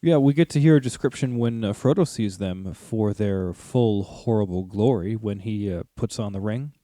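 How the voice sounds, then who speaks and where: A man's voice: fairly low pitch, normal speed, mellow, dry recording. A man co-hosting a podcast, casually discussing fantasy lore with geeky, slangy, sometimes sweary phrasing. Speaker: podcast co-host.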